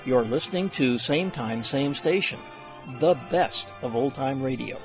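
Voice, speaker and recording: A man's voice: mid-range voice, lively intonation, measured pace, music in the background. A man hosting an old-time radio programme, reading a scripted show intro.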